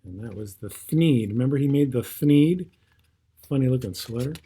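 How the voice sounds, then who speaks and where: A man's voice: mid-range voice, lively intonation, normal speed, mellow, slight background noise. A man reading a rhyming children's story aloud with theatrical, rhythmic phrasing and brief asides. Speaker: storybook reader.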